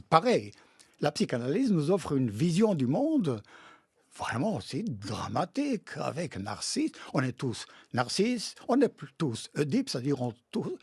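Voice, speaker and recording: A man speaking with a foreign accent in a language not native to him, explaining ideas emphatically and haltingly as a radio interview guest. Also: a little high, darkish tone, breathy edge, no room sound, studio quality. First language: not French. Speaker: guest philosopher and author. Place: radio studio.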